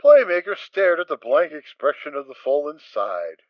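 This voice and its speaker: Very animated man narrating a dramatic story. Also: on the high side, normal speed, no room sound. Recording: dry, slightly dull home recording.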